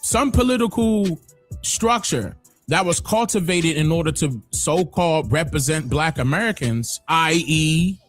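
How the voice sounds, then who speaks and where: A man hosting a livestream, giving emphatic opinionated commentary that swings from loud exclamations to low muttering. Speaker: livestream host.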